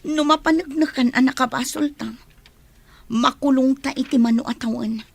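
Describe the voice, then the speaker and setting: A woman's voice: high voice, normal speed, vocal fry. A woman playing a regretful, resigned crook in a radio drama, with an Ilocano accent.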